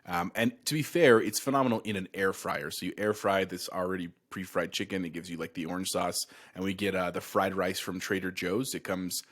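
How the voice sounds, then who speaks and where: A man co-hosting a casual gaming podcast, telling relaxed stories and chuckling easily. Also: low-pitched, fairly quick, hardly any pauses. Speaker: podcast co-host.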